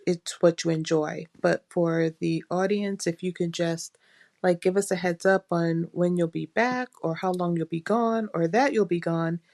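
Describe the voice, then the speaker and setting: A woman leaving a polite, measured voicemail message as a listener. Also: on the low side, darkish tone.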